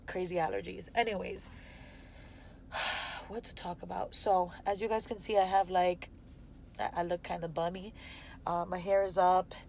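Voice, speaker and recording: A woman's voice: fairly low pitch, darkish tone, a little hiss or hum. A woman in her twenties with a stuffy, nasal voice, rambling candidly in an unscripted vlog from inside a car, filmed on a phone held at arm's length. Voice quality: congested, nasal. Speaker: vlogger. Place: parked car, indoors. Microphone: phone camera at arm's length.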